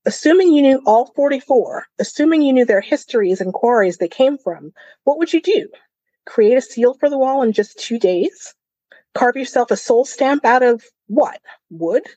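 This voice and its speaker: A woman's voice: sits high, animated, normal speed, warm tone, dry recording, muffled recording, pitched above the performer's own voice. A woman voicing an amused, taunting male court fool with a wry, clever manner in a fantasy storybook. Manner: wry, mocking.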